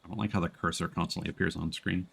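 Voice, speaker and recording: A man's voice: sits low, steady tone, very fast, creaky, fairly bright tone, slightly rough. A man chatting casually as a live streamer during gameplay, joking along as he goes.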